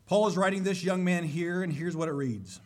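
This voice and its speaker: High-pitched, slightly hoarse male preacher. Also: fairly quick, a little mellow. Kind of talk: sermon with scripture reading.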